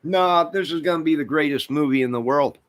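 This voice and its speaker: A man's voice: fairly high pitch, a little fast, dry recording. An American man giving a rambling, off-the-cuff rant as an online channel host, speaking in an exasperated, repetitive and emphatic manner.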